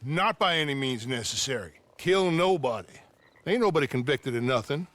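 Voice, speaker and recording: Man playing a lively-pitched, put-upon Western sheriff. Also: moderate pace, natural voice. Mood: reluctant, apologetic. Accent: American. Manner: apologetic, folksy, defensive. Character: reluctant, put-upon small-town Old West sheriff. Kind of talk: dramatic game cutscene acting.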